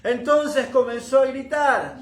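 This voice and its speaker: A man's voice: extremely high pitch, takes it slowly. A man preaching a sermon, speaking emphatically and repeating phrases to drive points home. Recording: roomy, echoing room sound.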